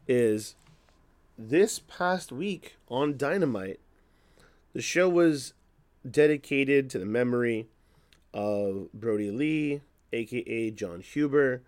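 A man with a slightly hoarse voice giving casual, unscripted commentary as a host, opinionated and thinking aloud as he talks. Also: mid-range voice, animated, takes it slowly, a little mellow. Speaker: wrestling news podcast host.

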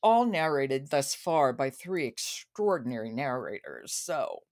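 A woman's voice: very deep voice, sing-song, measured pace, a little mellow. A woman hosting a conversational podcast about books. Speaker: podcast host.

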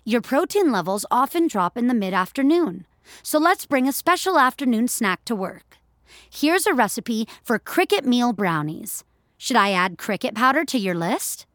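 Health app assistant, female narrator: courteous, helpful, quietly pushy machine persona for audio fiction, dry close sound. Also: fairly high pitch, lively intonation, moderate pace, dry recording, natural voice.

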